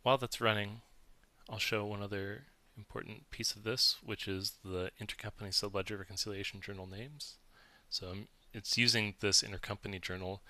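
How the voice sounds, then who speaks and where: A man giving a methodical, explanatory walkthrough as a software demo presenter, recorded close on the mic. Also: on the low side, creaky. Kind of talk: instructional screen-share walkthrough, semi-scripted.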